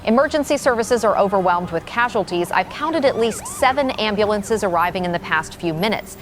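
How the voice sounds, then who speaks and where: An actress playing a shaken but professional field reporter, delivering a formal, urgent live report in an audio drama. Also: female voice, medium pitch, animated, a little fast, natural voice. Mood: grave, urgent. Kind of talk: scripted live news report.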